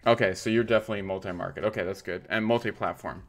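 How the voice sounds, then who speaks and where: Man, stream host, low voice, rambling, heavy fillers, casual. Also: steady tone, rapid-fire, soft background music. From Los Angeles area. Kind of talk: casual interview chat.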